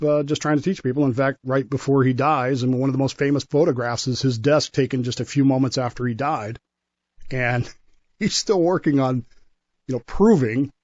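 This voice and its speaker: Male history expert for radio talk, mid-pitched voice, dry sound, emphatic and conversational. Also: a little mellow, no room sound. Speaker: radio show guest historian; former nuclear submarine serviceman.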